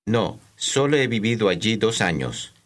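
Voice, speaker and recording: A man reading scripted language-course dialogue, enunciating slowly and carefully for learners. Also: lowish voice, very animated, fairly bright tone.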